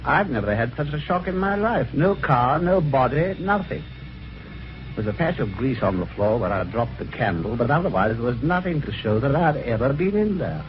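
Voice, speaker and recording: A male actor plays an eager British gentleman in a golden age radio mystery. His delivery is very lively and genteel, swinging between narration and excited dialogue at a middling pitch. The old recording is dull, with some music behind. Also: moderate pace, lightly acted. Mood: puzzled, recounting. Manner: old-fashioned genteel phrasing. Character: eager, excitable Englishman recounting his own strange adventure. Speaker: lead actor and first-person narrator.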